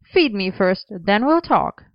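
A woman's voice: medium pitch, animated, slow pace, no echo, highs cut off, pitched above the performer's own voice. A woman voicing a playful, whiny young man who grumbles and teases in an audiobook story. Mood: teasing. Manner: whinging, grumbling, teasing.

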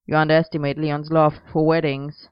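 Woman doing a calm young man, low, measured, wry, smooth voice, muffled. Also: no room sound, natural voice. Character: calm, dry-witted young man, office worker.

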